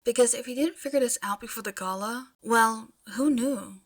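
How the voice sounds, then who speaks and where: A woman reading fiction aloud as an expressive audiobook-style narrator. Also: fairly high pitch, moderate pace. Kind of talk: expressive storytelling narration with casual asides.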